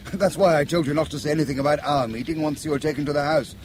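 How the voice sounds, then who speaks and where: An actor playing a ruthless, urbane British spymaster with a dry, unruffled manner in a spy drama. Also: male voice, on the high side, quick pace, background noise, close to an everyday voice. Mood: dryly amused. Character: suave, ruthless male British spymaster, coolly amused. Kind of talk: radio spy drama acting.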